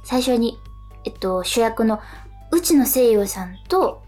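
Lady radio cohost w a high voice, chatty but polite, music under her. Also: lively intonation, slow pace, a little mellow. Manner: polite speech. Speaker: radio show co-host, stage actress. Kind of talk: casual radio chat.

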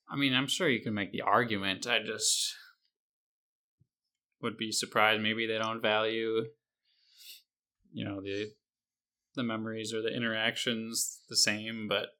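Bright-toned young man, easygoing. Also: on the low side. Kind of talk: casual chat.

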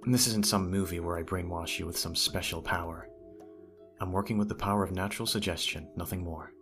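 A man's voice: deep voice, fairly even intonation, a little fast, music in the background. A man playing a teasing, smitten teenage childhood friend in an ASMR audio roleplay, talking straight to the listener in a conversational way. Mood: calm, persuasive.

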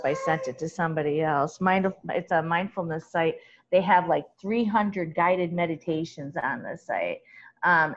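A talkative woman with a loud voice, chatting as an interview guest and laughing often as she talks. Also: low-pitched, dull audio, a little hiss or hum. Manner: chatty and laughing, with many restarts. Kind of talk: casual conversational interview.

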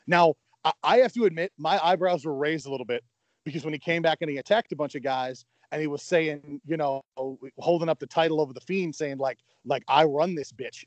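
A man's voice: a little high, fairly quick, no room sound, dull audio. A man co-hosting a podcast in casual chat, enthusiastic, often stammering and restarting his sentences. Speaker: podcast co-host.

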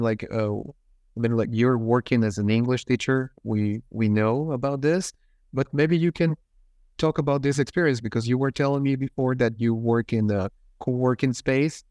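A man's voice: mid-range voice, a little mellow, dry recording, clean studio sound. A man hosting a podcast, asking long, hesitant questions with many repeated words and fillers. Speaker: podcast host. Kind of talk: interview questions.

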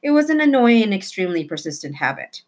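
A woman narrating a children's novel in an expressive read-aloud style. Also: medium pitch, fast talker.

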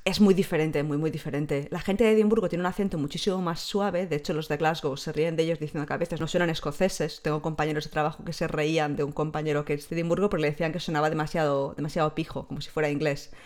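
A woman's voice: low-pitched, fairly even intonation, fast, few pauses, a little mellow. A woman in her thirties chatting as a podcast guest, explaining things fluently in long stretches. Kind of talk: casual conversation.

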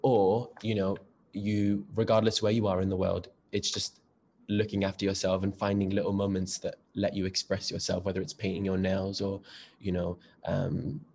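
A young man from North West England, chatting casually in an interview in a camp, lively way, calling people darling and girl. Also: low-pitched, normal speed, vocal fry, a little hiss or hum. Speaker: actor, interview guest.

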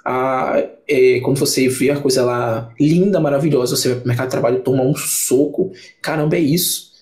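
A man's voice: mid-range voice, fairly quick, darkish tone. A young man, chatting casually as a podcast guest, rambling with many restarts and fillers. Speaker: book channel creator, podcast guest.

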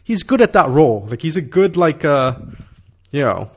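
A man's voice: on the high side, sing-song, moderate pace, breathy edge. A man in his thirties telling a long story in casual conversation, rambling with many fillers, swearing and laughing.

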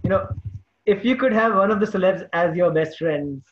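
A man's voice: high-pitched, normal speed, muffled recording. A man hosting a casual chat interview, often chiming in with short words of agreement. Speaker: podcast host.

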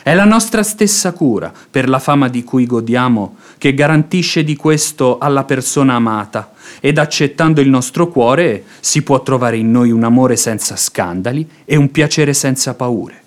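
A man's voice: medium pitch, lively intonation, moderate pace, close to an everyday voice. An actor playing a fawning, impassioned religious hypocrite in a radio drama, declaiming his pleas in florid, unctuous phrases. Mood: insinuating. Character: pious hypocrite seducer, fawning, impassioned, silver-tongued man. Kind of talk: theatrical radio drama acting.

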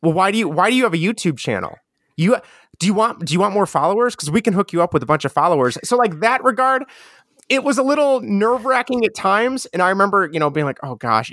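A man with a strong Chicago accent, talking as a guest in a relaxed, rambling way with many restarts. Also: on the high side, no echo, studio quality. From Chicago area. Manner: mellow, rambling with frequent restarts. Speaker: guest, educator and podcaster. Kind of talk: casual conversational interview.

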